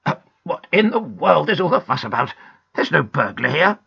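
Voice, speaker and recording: Lady doing an old grandad in a kids story, blustery and indignant, husky, slightly lo-fi. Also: low-pitched, very animated, moderate pace, full character voice. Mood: astonished. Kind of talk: children's storybook reading.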